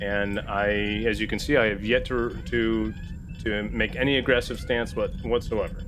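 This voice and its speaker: A man playing a diplomatic male starship captain in a sci-fi tabletop game, speaking formally and haltingly. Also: on the low side, fairly even intonation, music in the background, lightly acted. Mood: diplomatic stalling.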